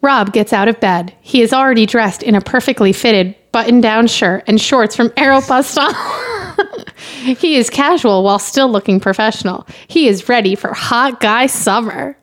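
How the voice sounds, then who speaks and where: High-voiced woman in casual comic banter. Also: moderate pace. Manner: blunt, sweary heckling. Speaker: podcast guest.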